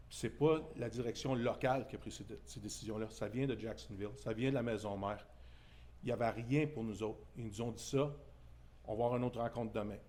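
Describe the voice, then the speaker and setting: A man with a Québécois French accent gives a press conference statement. His voice is mellow and dark, and he speaks in an earnest, indignant way. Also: mid-range voice. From Témiscamingue, Quebec.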